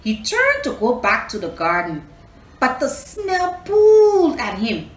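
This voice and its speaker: A woman reads a picture book to children at a slow pace with lots of melodic movement. She breaks off to explain and ask questions. The sound is roomy and slightly distant, with faint noise. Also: high-pitched. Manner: expressive, explanatory, addresses listeners with questions. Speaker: children's storyteller. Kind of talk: storybook read aloud with asides to children. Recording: echoey room, distant mic, faint noise.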